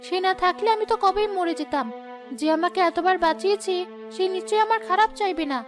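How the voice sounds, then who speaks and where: Young cartoon princess, played by a woman: very high voice, gentle, earnest, polite, grateful. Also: quick pace, music in the background. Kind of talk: animated fairy-tale dialogue.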